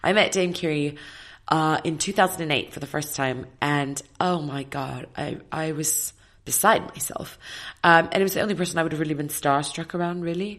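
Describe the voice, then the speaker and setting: Low-voiced, slightly husky woman. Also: normal speed. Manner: reflective, animated storytelling with many fillers. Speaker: interview guest, opera singer. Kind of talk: casual interview chat.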